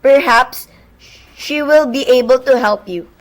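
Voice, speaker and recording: Young woman doing a phone operator, high voice, formal and unbothered, amateur radio drama. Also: poor audio. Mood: polite, impassive. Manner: formal, measured, scripted phrasing. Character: polite, impassive female telephone switchboard operator. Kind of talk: amateur radio play acting.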